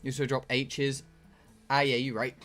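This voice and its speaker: A man's voice: medium pitch, level tone, moderate pace, fairly bright tone, soft background music. A man from England with a southern English accent, chatting casually and bluntly on a livestream, rambling and swearing freely. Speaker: game streamer.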